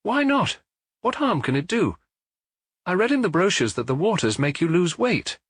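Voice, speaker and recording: A man putting on a lively high-voiced wife. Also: moderate pace, slightly rough, no room sound, clean studio sound, full character voice. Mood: playful. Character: middle-aged wife, cheerful and stubborn.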